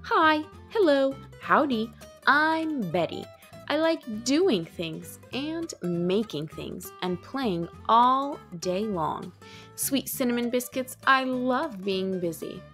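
A woman voicing a busy, excitable little girl in a storybook, dramatic and exclamatory, stretching out her words. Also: a little high, takes it slowly, faint music behind. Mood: cheerful, eager.

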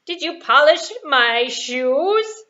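A woman voicing cruel, bossy, gloating stepsisters in a puppet show for children, loud and demanding. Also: high-pitched, lively intonation, slow pace, muffled recording, pitched above the performer's own voice, heavily acted.

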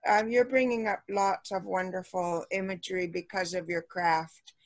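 A woman's voice: lowish voice, steady tone, moderate pace, no echo. Rambling woman on a dry video call. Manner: rambling, many fillers and restarts, warm recommendations. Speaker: participant. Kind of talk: casual chat on a video call.

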